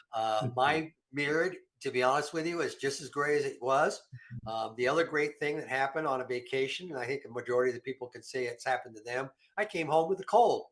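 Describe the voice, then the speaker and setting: A man's voice: mid-range voice, fairly bright tone. A middle-aged man with a slightly nasal voice from a cold, talking casually and with self-deprecating humor about health supplements as a show regular. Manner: rambling, folksy, self-deprecating.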